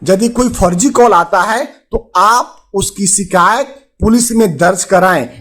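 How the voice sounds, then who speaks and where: High-pitched Indian man presenting advice. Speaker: host of a finance and insurance advice channel. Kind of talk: presenter addressing viewers with a consumer alert.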